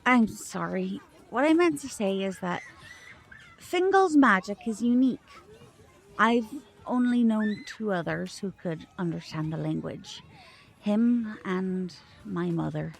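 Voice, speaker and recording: A woman acting a protective magician mother in an audio drama, polite and measured, with a suspicious edge. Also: mid-range voice, animated, creaky, poor audio. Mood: gracious, probing.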